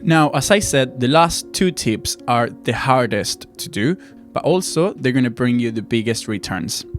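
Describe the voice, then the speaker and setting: A man's voice: medium pitch, lively intonation, moderate pace, faint music behind. A young man from Argentina, a native Spanish speaker, giving casual advice to viewers as a video host.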